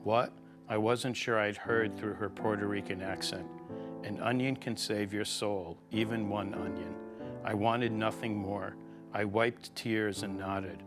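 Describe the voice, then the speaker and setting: A man's voice: fairly low pitch, fairly even intonation, a little slow. A man reading a personal memoir aloud as scripted narration. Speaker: reader of a memoir. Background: plucked guitar music.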